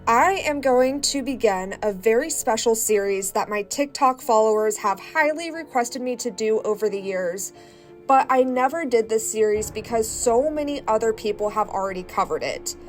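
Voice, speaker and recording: A woman hosting a channel and reading first-person stories aloud with a congested, stuffy nose in a calm, measured way. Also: on the high side, faint music behind. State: stuffy nose from sinus infection. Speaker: host.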